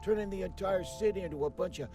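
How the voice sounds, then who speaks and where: A man's voice: sits high, quick pace, close to an everyday voice. A man playing a slangy, streetwise 1940s gangster henchman who tells tales theatrically in a cartoonish video game. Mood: ominous storytelling. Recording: music behind the voice.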